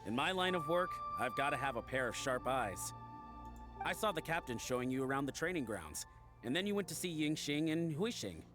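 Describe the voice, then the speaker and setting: An eager young male sailor from a video game, with a lively, swooping delivery and a slightly high voice. He is friendly and instructive, with music behind him. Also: moderate pace. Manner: chipper, instructive. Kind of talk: scripted video game dialogue.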